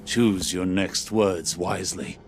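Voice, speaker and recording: A man's voice: low-pitched, steady tone, takes it slowly, creaky, exaggerated voice. A man playing a shrewd, coolly reproving spymaster in a video game, clipped and authoritative. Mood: stern reproof. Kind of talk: dramatic video game voice acting. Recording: dramatic music behind the voice.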